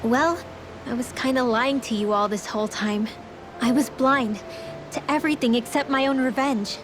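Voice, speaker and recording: A woman's voice: a little high, moderate pace, music in the background, natural voice. A woman playing an earnest young girl in a fantasy video game, with a hesitant, confessional manner. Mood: remorseful, hesitant.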